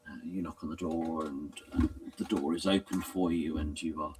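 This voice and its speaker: A man improvising narration as a tabletop game master in a halting, hesitant way, often pausing mid-sentence. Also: extremely low pitch, steady tone, darkish tone, recorded from a distance.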